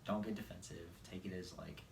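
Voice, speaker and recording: A man in his twenties with a very low voice talks informally and sincerely in a question-and-answer chat. The room is echoey, with faint noise around his voice. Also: steady tone, a little fast, gravelly, darkish tone. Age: 20s. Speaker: husband answering viewer questions with his wife. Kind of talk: casual couple Q&A chat.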